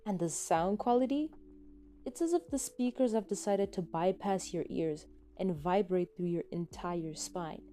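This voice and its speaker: A woman's voice: lowish voice, a little slow, a little hiss or hum. A woman reading scripted second-person story narration, with music behind her. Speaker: podfic narrator. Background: soft background music.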